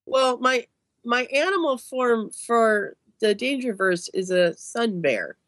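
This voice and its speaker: A woman in her forties playing a tabletop role-play game, calmly reading out her character notes. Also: a little high, slow pace, no room sound. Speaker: player. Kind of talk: casual podcast chat.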